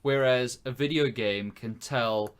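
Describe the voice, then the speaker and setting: A man's voice: medium pitch, unhurried, clear, resonant tone. A man co-hosting a casual film podcast, thinking aloud in an informal, tentative way. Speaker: podcast co-host.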